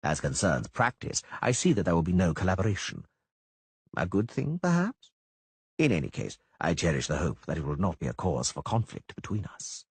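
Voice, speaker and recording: A sinister minister, quiet and dispassionate, with a low, husky voice, read by a male storyteller. He speaks slowly and evenly in a dry audiobook recording. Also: very animated, no echo, full character voice. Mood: measured. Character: calm, menacing middle-aged male minister.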